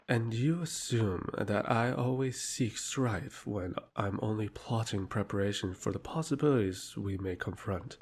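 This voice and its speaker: A man playing a scheming, authoritative royal emissary in a fantasy audio drama, speaking in a formal, measured way. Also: lowish voice, a little mellow, slight background noise, natural voice.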